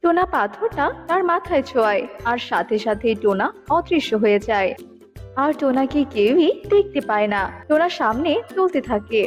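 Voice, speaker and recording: A woman's voice: high-pitched, expressive, normal speed. A woman narrating a scripted children's cartoon story. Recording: dull lo-fi audio with music bed. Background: music.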